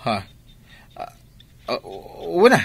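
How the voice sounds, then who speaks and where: An actor playing an evasive young two-timing lover in a radio drama, with an Ilocano accent. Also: male voice, fairly high pitch, huge pitch swings, takes it slowly, creaky, breathy edge, full character voice. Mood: evasive, flustered. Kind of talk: Filipino radio drama acting.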